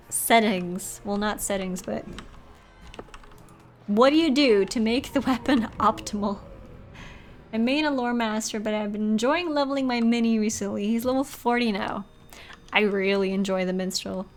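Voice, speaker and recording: A woman's voice: on the high side, moderate pace, slight background noise. A woman hosting a live game stream, talking casually and expressively and reading bits aloud in a chatty, giggly way.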